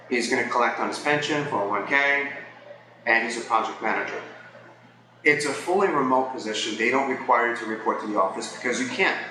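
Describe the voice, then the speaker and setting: A man giving casual, explanatory advice to viewers in a conversational way, as a vlogger. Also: mid-range voice. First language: English. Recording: echoey space with crowd chatter behind.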